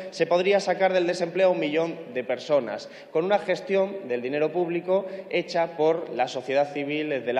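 A man's voice: fairly high pitch, muffled recording. Man giving an insistent parliamentary speech. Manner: forceful, insistent, building lists for emphasis. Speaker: member of parliament. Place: large parliament chamber, at a wooden lectern. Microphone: lectern microphone. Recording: echoey chamber with long reverb.

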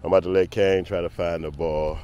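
A man's voice: sits low, flat delivery, fairly quick, mellow, lo-fi sound, slight background noise. A man vlogging casually on a walk outdoors in a park with his dog, rambling through his thoughts and calling to the dog now and then. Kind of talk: casual walk-and-talk vlog.

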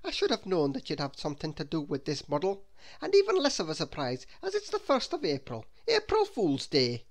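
A woman's voice: low voice, wildly swooping pitch, fairly quick, heavily acted. Woman playing a firm, helpful storybook policeman. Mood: amused, confident. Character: steady, reassuring, helpful policeman.

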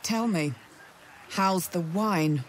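Vengeful bereaved mum in a game, cold, slow talking, faint ambience. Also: female voice, on the low side, a little hiss or hum. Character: bitter, vengeful grieving mother, cold and resolute. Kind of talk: video game character dialogue.

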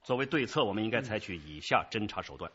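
A male police squad leader in a radio drama, with a bright, firm voice and lively pitch. He is patient and methodical, affable but in command. The recording is a bit dull. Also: fast. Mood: businesslike, firm.

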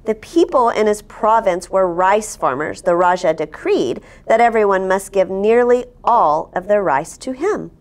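Female story-time host, forties, animated melodic delivery for young listeners, expressive read-aloud. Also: medium pitch, a little slow, few pauses. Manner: expressive, asks listeners simple questions. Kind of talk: children's picture-book read-aloud. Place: indoor studio set at a table. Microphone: lapel.

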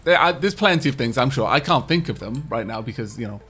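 A man's voice: medium pitch, animated, fast, a bit bright, slightly rough, faint music behind. Welsh man chatting over gameplay. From Wales. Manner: rambling, chatty with frequent fillers and restarts. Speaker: gaming streamer. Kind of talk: casual co-op gameplay chat.

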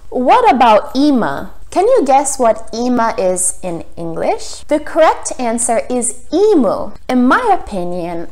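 Slow, lilting young woman teaching. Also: a little high. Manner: friendly presenter delivery, carefully enunciated, with emphasized key words. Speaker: English teacher. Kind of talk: scripted video lesson to camera. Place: small living room indoors. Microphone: lapel.

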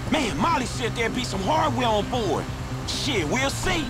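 A brash young street crook who runs the crew in a video game. The voice is very high and animated, blunt and slangy, with loud music behind it. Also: male voice, unhurried, heavily acted. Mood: urgent.

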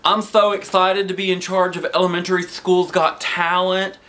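A man voicing a gushing, excitable woman talent coach from a children's storybook, in a showy, effusive manner. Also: high-pitched, normal speed, voice pushed up. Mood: excited.

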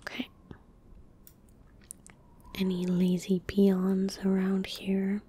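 A young woman murmurs ASMR game commentary in a soft whisper, right up at the microphone. Her delivery is slow and flat, with a bright, crisp edge to the breathy sound. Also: mid-range voice, slight background noise. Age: young adult. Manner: relaxed, unhurried murmuring. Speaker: ASMR gaming streamer. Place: small indoor room at a computer desk. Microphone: large microphone close to the mouth. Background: keyboard typing and mouse clicks.

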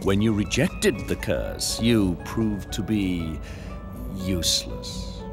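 Sly, sinister servant of death, slow and theatrical. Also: male voice, low-pitched, big pitch swings, vocal fry, music in the background, heavily acted. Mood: smug, sinister. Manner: archaic, theatrical, mocking. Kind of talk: theatrical video game voice acting.

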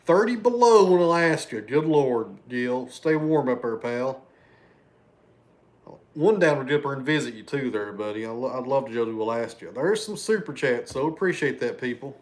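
Man, thirties, drawl, relaxed livestream chatter, thanking viewers, home living room. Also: medium pitch, normal speed. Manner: chatty, thanks viewers by name, loses his train of thought. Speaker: livestream host. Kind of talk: casual livestream chat reading super chats.

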